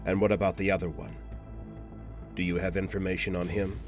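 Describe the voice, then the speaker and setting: A man playing a formal, cautious subordinate agent in an audio drama, talking in a measured, questioning manner. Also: low-pitched, level tone, over music. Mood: questioning, uneasy. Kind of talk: scripted audio drama roleplay.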